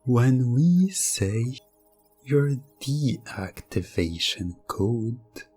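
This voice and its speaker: A man narrating scripted hypnosis ASMR in the second person, drawing out his phrases in a halting, suggestive way. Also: medium pitch, big pitch swings, darkish tone, breathy edge, dry recording. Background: music.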